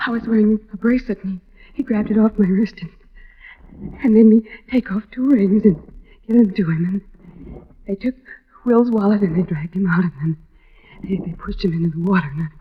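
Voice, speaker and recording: An actress playing a frail, shocked, exhausted wife in an old radio drama, halting and stammering in a breathy voice. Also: female voice, mid-range voice, lightly acted. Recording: dull vintage radio recording.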